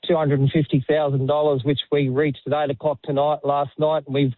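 An Australian man, a firefighter, talking by phone with many halting restarts and fillers. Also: a little high, level tone, no room sound. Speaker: firefighter and fundraiser. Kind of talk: live phone interview. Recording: tinny phone line.

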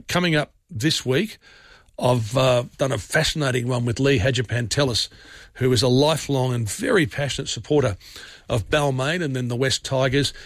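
A man with an Australian accent hosting talkback radio in a casual, rambling way, his voice scratchy and hoarse. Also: mid-range voice, no room sound, studio quality. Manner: rambling and chatty, with restarts.

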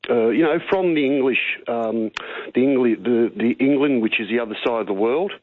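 A man's voice: mid-range voice, moderate pace, dry recording. A middle-aged man with an Australian accent phoning a radio show, earnest and opinionated, rambling and matey. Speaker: talkback radio caller. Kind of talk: opinionated phone-in talk. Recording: tinny phone line on radio.

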